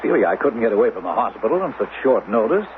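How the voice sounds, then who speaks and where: An American actor playing a protective, persuasive doctor husband in a 1970s radio drama, measured but sometimes haltingly hesitant. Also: male voice, lowish voice, very animated. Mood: calm, questioning. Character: reasoning, protective doctor husband hiding a dangerous secret. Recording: lo-fi radio broadcast with hiss.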